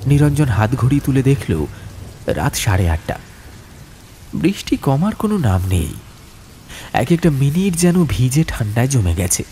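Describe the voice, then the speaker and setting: A man narrating a horror audiobook as a storyteller, with suspenseful, atmospheric delivery and deliberate phrasing. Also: mid-range voice, big pitch swings, warm tone, a little hiss or hum.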